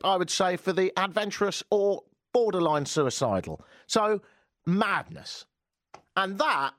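A man hosting a talk radio show, sardonic and animated, joking and ranting about the news. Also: on the high side, no room sound, clean studio sound. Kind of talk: opinionated talk radio chat. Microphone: studio microphone.

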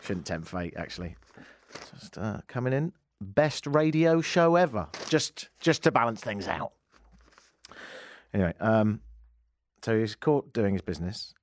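A man's voice: on the low side, big pitch swings, a little slow, long pauses, no echo. A man hosting a comedic radio show, rambling through sardonic asides into a studio mic. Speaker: radio host.

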